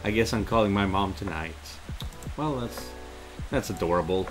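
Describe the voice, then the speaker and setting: A man's voice: lowish voice, normal speed. A middle-aged man playing a game on stream, reading lines aloud and commenting on them. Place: indoors at a desk, headset on. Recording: music behind the voice.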